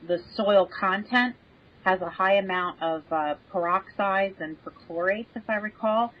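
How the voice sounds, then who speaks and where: A woman's voice: medium pitch, unhurried. A woman explaining things as an expert guest in casual discussion. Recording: dull lo-fi remote audio.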